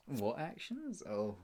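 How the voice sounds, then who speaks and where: This is a performer acting an obsessive, possessive kidnapper in an ASMR roleplay. The delivery is slow and smug, full of teasing sighs and chuckles. It often sinks toward a breathy, taunting whisper. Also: mid-range voice, warm tone, full character voice. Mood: sly, smug.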